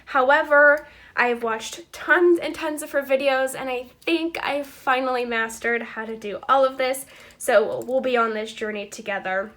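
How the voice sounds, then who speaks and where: A woman's voice: high-pitched, unhurried, a bit bright. A woman in her twenties, stuffy from a cold, hosting a chatty, upbeat budgeting walkthrough for her viewers. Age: 20s. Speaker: channel host.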